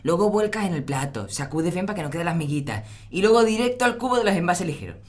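A man's voice: high-pitched, big pitch swings, fast talker. A male actor playing an exasperated teenage son who films at home, with an accent from Spain, in a naturalistic audio drama. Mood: bossy, impatient. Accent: Spanish from Spain.

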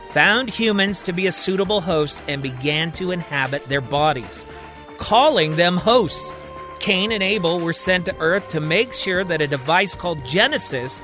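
A man telling a serial thriller in steady, expressive scripted narration. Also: high voice. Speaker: storyteller.

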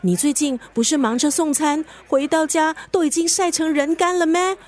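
Casting: female actor as sassy, caring Singaporean best friend, very high voice, colloquial radio-drama delivery. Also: fairly even intonation, normal speed, close to an everyday voice. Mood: teasing, exasperated. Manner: colloquial, mixes in English words.

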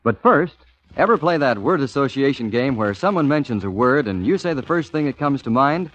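A man's voice: medium pitch, lively intonation, fairly quick, a bit bright. A man announcing a sponsor's message in the style of a 1940s radio commercial. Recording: muffled 1940s radio recording.

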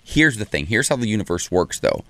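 Chatty low-voiced male host, nasal. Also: fairly quick, no echo. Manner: chatty, excitable, restarts words. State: slightly nasal from a cold. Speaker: morning show co-host. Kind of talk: casual chat.

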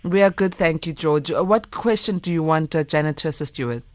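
A woman hosting a call-in radio show, briskly moving from one caller to the next. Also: deep voice, no room sound. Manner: brisk, moves callers along.

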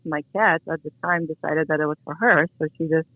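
A woman's voice: deep voice, fairly even intonation, brisk, no echo. A woman from Latvia chatting casually as a phone guest, sharing personal recollections. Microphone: telephone. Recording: dull phone call, band-limited.

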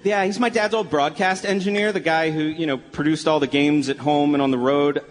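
A man's voice: fairly high pitch, steady tone, a little fast, close to an everyday voice. A man acting in a live radio play as a skeptical, hesitant adult son who stammers a little. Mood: agreeable, hesitant. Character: Brian, skeptical adult son of a feuding sportscaster. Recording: live stage, long reverb, clear noise.

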